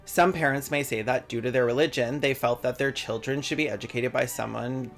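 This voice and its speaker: A man's voice: mid-range voice, fairly even intonation, fairly quick, fairly bright tone, faint music behind. A young man delivering a scripted video essay, reading quotes and dropping sarcastic, profane jokes. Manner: sardonic, profane asides.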